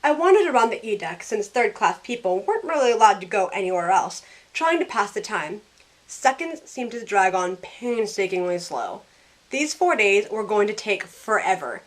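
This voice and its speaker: A woman's voice: mid-range voice, very animated, moderate pace. Snarky young woman played by an actress, wry storyteller. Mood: wry narration. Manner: wry, sarcastic. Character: snarky young woman thrown back aboard the Titanic. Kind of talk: first-person scripted narration and acted dialogue.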